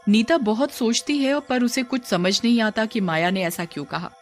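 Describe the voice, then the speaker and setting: A woman's voice: fairly high pitch, normal speed, faint music behind. A woman storyteller reading scripted narration for a cartoon horror story.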